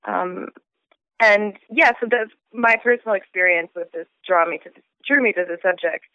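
A woman's voice: mid-range voice, normal speed, no echo. Thoughtful woman, tinny phone-like sound. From Switzerland. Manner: thoughtful, frequent fillers and restarts. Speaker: author interviewed about her book. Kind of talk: casual interview.

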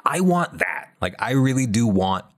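A man's voice: medium pitch, sing-song, moderate pace. A man co-hosting a casual discussion, giving candid, wry opinions in a conversational way. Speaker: podcast co-host.

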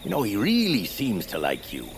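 A man's voice: on the low side, wildly swooping pitch, moderate pace, crowd noise. A man playing an eccentric, needy bearded hermit creature in an audio drama, theatrical and rambling, easily wounded. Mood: quirky, earnest. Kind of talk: audio fiction acting.

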